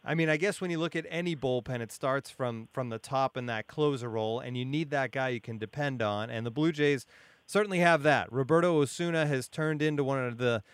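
Male podcast host, mid-pitched, clean resonant voice, dry sound, conversational sports discussion. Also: a little fast, smooth, dry recording.

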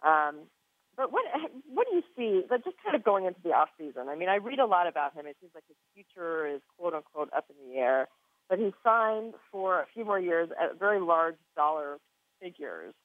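A woman's voice: fairly low pitch, very animated, moderate pace, no room sound. Woman, American accent, sports podcast host, conversational interviewing, muffled band-limited audio, dry. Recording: narrow, muffled line.

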